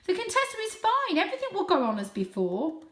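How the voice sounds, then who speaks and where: A woman's voice: very high-pitched, huge pitch swings, quick pace, fairly bright tone, roomy sound, pitched above the performer's own voice, exaggerated voice. A woman voicing a plump, smug, haughty aunt cat from a storybook, confident and pompous in manner. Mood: smug, assured.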